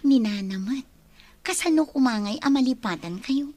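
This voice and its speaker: A woman's voice: sits high, expressive, slow, darkish tone, full character voice. An actress with a Filipino accent playing an evasive young mother in a radio drama. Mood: feigned surprise, indignant. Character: evasive young mother denying she gave birth.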